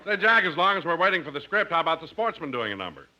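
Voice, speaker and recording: Bright, booming-sharp American radio sidekick. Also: male voice, very animated, rapid-fire, muffled recording. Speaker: announcer and comic sidekick. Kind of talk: 1950s radio comedy acting.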